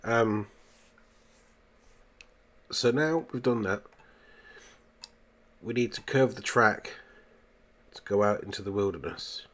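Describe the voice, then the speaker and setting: Low-pitched man bunged up with a cold. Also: takes it slowly, long pauses, fairly bright tone. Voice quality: congested, bunged-up nose. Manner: muttering to himself, self-questioning tag questions. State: full of a cold. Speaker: gaming video host. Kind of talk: casual gameplay commentary. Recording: clear background noise, keyboard clicks.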